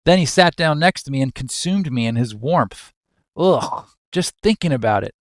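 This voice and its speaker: A man's voice: mid-range voice, animated, moderate pace, no echo, clean studio sound, natural voice. A man playing a fussy, squeamish office worker, indignant and melodramatic in manner. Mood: disgusted, aggrieved.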